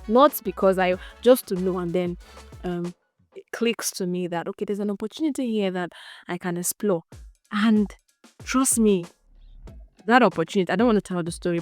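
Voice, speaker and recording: A young woman with a Nigerian Igbo accent hosting a solo podcast, thinking out loud in an unscripted, chatty way and laughing often. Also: fairly low pitch. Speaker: podcast host.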